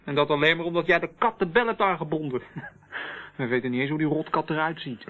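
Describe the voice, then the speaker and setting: An actor playing a harried, sceptical police officer in a Dutch radio drama, on a tinny old recording. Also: male voice, a little high, brisk, natural voice. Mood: agitated, sarcastic. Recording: tinny, band-limited old radio play.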